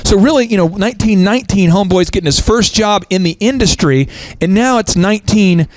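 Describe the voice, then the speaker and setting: A man's voice: fairly quick, no room sound. A male host of a business talk show who talks emphatically in a preachy, motivational way, often restarting and repeating words. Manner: swoops up into high pitch for emphasis.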